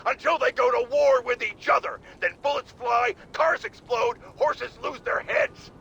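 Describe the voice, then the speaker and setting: Bright, blustering American talk-radio pundit. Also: male voice, extremely high pitch, fairly even intonation, measured pace, vocal fry, slight background noise, exaggerated voice, rough recording. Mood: ominous, scornful. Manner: pompous, emphatic. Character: blustering, self-important older male radio pundit. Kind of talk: radio talk show rant.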